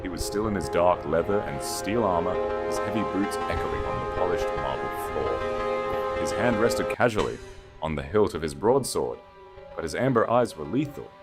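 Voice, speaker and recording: A man's voice: low voice, animated, moderate pace, music in the background. A man reading dramatic scripted fantasy fiction as an audiobook narrator.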